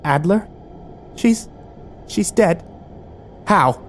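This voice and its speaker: A man's voice: high-pitched, animated, extremely slow, a little hiss or hum, pitched above the performer's own voice, exaggerated voice. A man voicing a hot-tempered, commanding young woman in an audio story, curt and aggressive. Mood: menacing, urgent.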